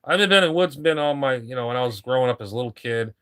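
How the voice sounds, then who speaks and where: A man's voice: medium pitch, quick pace, pushed and bright, clear, resonant tone. A middle-aged man chatting casually on a live stream from his home radio room, rambling and complaining and often repeating himself.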